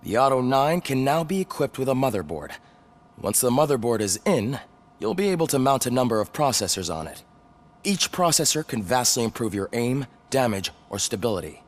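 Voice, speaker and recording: A man's voice: mid-range voice, big pitch swings, fairly quick, close to an everyday voice. A man playing a chummy police weapons technician in a video game, explaining things informally and eagerly.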